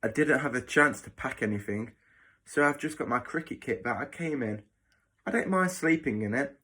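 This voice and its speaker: A man's voice: medium pitch, moderate pace, pushed and bright. A man voicing a polite, hesitant boarding-school boy in a children's story, with a pleading manner. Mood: hesitant, explaining.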